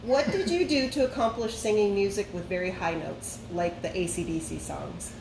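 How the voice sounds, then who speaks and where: A woman's voice: medium pitch, normal speed, gravelly. A woman reading fan questions aloud to a friend in a plain, matter-of-fact way. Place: brewery. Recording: roomy echo with ambient noise.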